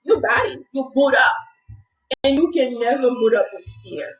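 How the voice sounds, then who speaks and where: A woman who works as a healer telling a motivational story in a casual talk, animated and repeating "okay?" often, her English a little non-native in its grammar. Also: high-pitched, moderate pace. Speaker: healer and speaker. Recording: dull lo-fi sound with faint noise.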